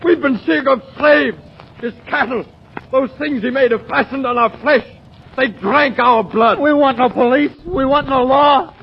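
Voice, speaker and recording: High, creaky, vengeful prisoner. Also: male voice, unhurried. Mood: bitter, vengeful. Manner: strained, bitter, insistent. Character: vengeful, half-broken enslaved prisoner turned beast. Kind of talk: 1940s radio horror acting. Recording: muffled, noisy old radio recording.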